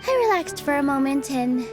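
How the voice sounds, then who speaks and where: A soft-spoken young dancer. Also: female voice, high-pitched. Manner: soft, earnest and warm-spoken. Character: gentle young woman stage dancer. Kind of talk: video game dialogue. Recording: game music playing behind.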